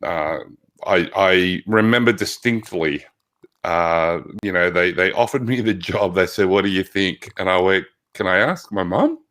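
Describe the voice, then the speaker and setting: A man with an Australian accent, a physical therapist telling stories as an interview guest, loud and reflective, often restarting his sentences. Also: sits low, unhurried. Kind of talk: conversational interview.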